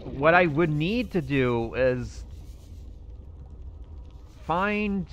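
A man's voice: a little high, expressive, drawn-out words, a bit bright, a little hiss or hum. A man thinking aloud in character while he plays a survival game, musing and hesitant as he goes. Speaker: roleplay gaming channel host.

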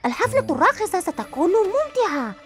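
A woman's voice: very high-pitched, sing-song, fast talker. A woman voicing a cheerful young boy hero in a dubbed cartoon, speaking in an eager, upbeat manner. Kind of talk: Arabic cartoon dub. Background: music.

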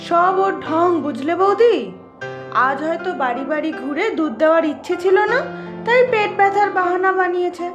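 A woman's voice: very high-pitched, normal speed. A woman playing a chatty village housemaid and nagging wife in a cartoon, with a sceptical, familiar manner. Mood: scolding, sceptical. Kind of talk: Bengali cartoon voice acting. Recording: roomy echo, loud music bed. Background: music.

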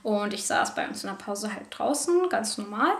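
A woman's voice: mid-range voice, moderate pace. Candid young woman in an echoey room. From Germany. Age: young adult. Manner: candid, animated, gestures a lot. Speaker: vlogger. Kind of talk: personal storytelling to camera. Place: living room, on a sofa.

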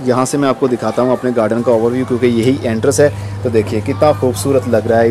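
A man's voice: medium pitch, warm tone. A man hosting a gardening vlog, giving a casual walkthrough outdoors on a rooftop terrace, recorded on a handheld camera. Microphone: handheld camera microphone. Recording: outdoor ambient noise, light urban background.

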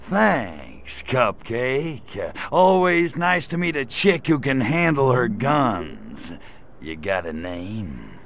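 A man's voice: mid-range voice, big pitch swings, slow pace, fairly bright tone, slight background noise, full character voice. An actor voicing a brash, flirty male grey alien invader in a video game cutscene, cracking jokes in slangy lines. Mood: wisecracking. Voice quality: gravelly, slangy wisecracking delivery.

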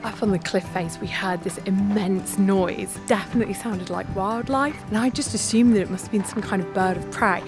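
Woman in her thirties, travel vlogger, chatty and upbeat, outdoors with background music, medium-pitched voice. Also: normal speed, hardly any pauses. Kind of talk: hiking vlog narration. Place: outdoors on a mountain trail. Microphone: phone camera at arm's length.

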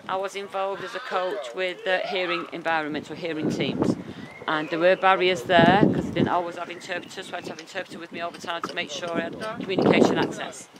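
Woman interpreter voicing players outdoors. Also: on the low side. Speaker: sign language interpreter voicing deaf players and coach. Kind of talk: first-person voice-over interpreting. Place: outdoors at a football pitch. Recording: loud outdoor noise.

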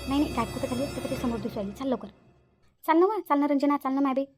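A woman's voice: high voice, very fast, a little mellow, faint music behind, lightly acted. A woman voicing a bossy, nosy village woman who plays detective in a cartoon, urgent and commanding, with a Varhadi Marathi accent. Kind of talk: cartoon character dialogue.

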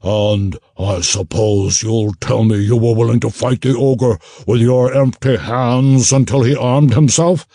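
A man voicing a menacing male gnoll ship captain, speaking slowly with a growl. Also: medium pitch, fairly even intonation, mellow, slightly rough, dry recording, studio quality, pitched above the performer's own voice.

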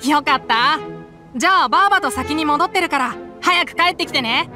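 A woman's voice: high voice, expressive, brisk. An actress voicing a small, cheerful boy with a sweet, pleading way of talking. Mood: eager. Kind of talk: Japanese game voice acting. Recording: game audio with faint music underneath.

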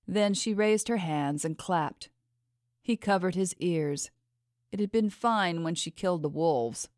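A woman's voice: sits low, darkish tone, no room sound, studio quality. A woman narrating a fantasy novel as a scripted audiobook reading.

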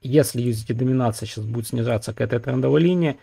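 A man's voice: medium pitch, racing, vocal fry, mellow, breathy edge. A man hosting his own crypto channel, talking casually over trading charts with many fillers and asides to viewers. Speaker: crypto market analyst, channel host. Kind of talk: screen-recorded chart analysis.

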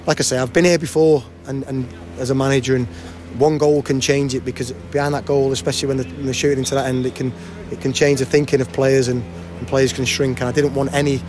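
Fast-talking football manager. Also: male voice, mid-range voice. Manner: fast, animated, frequent restarts and repeated words. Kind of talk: post-match interview. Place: outdoors by a cafe banner at a stadium. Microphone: handheld interviewer mic.

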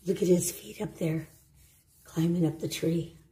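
A woman reading a children's storybook aloud, with simple, deliberate phrasing and short asides for young listeners. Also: on the low side, fairly even intonation, mellow, roomy sound, slight background noise. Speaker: storybook reader. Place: indoor room at home.

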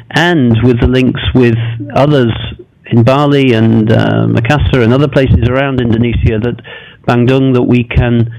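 A man's voice: mid-range voice, a little mellow, lo-fi sound. Man lecturing with many fillers. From Singapore. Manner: self-deprecating, hesitant with many fillers. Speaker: guest lecturer. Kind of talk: academic lecture. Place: lecture room with desk microphone. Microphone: desk microphone.

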